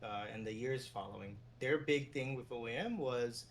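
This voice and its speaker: A man from New York City, a former team general manager and agent, talking as a guest in a relaxed, meandering way with the odd chuckle. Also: medium pitch, normal speed. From New York City, USA. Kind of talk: casual interview conversation.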